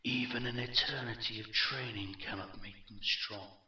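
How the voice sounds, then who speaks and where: A man voicing a mocking, commanding undead warlord in a fantasy audiobook, with slow, imperious delivery. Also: mid-range voice, fairly even intonation, roomy sound, far from the mic, highs cut off. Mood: cold, haughty. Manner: slow, mocking, rising to shouted intensity. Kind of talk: fantasy audiobook character dialogue.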